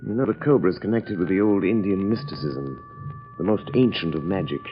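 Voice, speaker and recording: Man acting a low-voiced, suave society gentleman. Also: a little fast, lightly acted. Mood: curious. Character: suave wealthy gentleman amateur sleuth, urbane, unhurried. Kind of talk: 1940s radio acting. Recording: dull old radio recording, music behind. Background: faint music.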